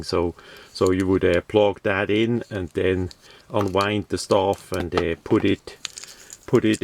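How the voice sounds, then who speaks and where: Slow, deep-voiced man reviewing gear. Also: gravelly. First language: not English. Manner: hesitant, halting phrasing with repeats. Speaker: product reviewer. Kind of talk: casual unboxing review.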